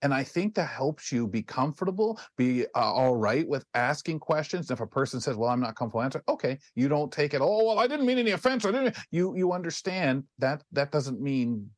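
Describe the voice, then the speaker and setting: A man's voice: mid-range voice, big pitch swings, fairly quick, hardly any pauses, no room sound. Canadian man musing in a dry studio. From Ontario, Canada. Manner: rambling, musing, acts out snippets of imagined dialogue. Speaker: talk show host. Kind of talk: casual radio panel discussion.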